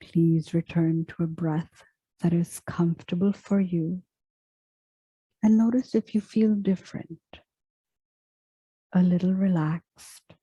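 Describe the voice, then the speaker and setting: Very slow, soft-spoken woman guiding meditation. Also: lowish voice, steady tone, husky, no echo, muffled recording. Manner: gentle, hushed, measured with long pauses. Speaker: meditation guide and yoga teacher. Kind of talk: slow guided meditation, scripted.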